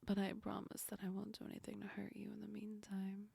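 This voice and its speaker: A woman playing a teasing yet comforting female sleep demon in a whispered ASMR roleplay, breathy and soft, speaking close to the ear. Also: mid-range voice, completely flat delivery, a little fast, no room sound. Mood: apologetic, explaining. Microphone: very close ASMR microphone.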